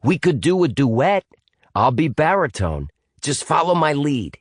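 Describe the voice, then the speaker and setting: A man's voice: on the high side, big pitch swings, measured pace, dry recording, studio quality, pitched above the performer's own voice. A man doing a goofy slangy young dude. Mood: playful. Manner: innocent, playful.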